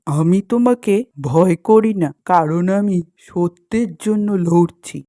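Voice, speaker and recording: Woman voicing an earnest, unhurried young woodcutter. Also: lowish voice, warm tone, no room sound, clean studio sound, faint music behind. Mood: resolute. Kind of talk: cartoon fairy-tale character acting.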